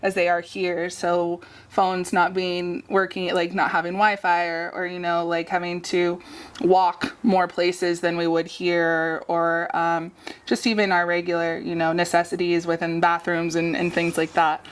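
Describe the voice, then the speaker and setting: A woman hosting a heartfelt conversation, reflective and hesitant, with many pauses and "um"s. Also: lowish voice, completely flat delivery, clear, resonant tone. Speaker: podcast host.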